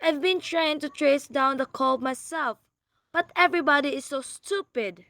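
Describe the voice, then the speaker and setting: A woman's voice: high voice, normal speed, pushed and bright, dry recording. An actress playing a frantic, haughty wealthy married woman in a suspense radio play, demanding and indignant. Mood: insistent, flustered. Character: frantic, imperious wealthy married woman reporting a murder plot.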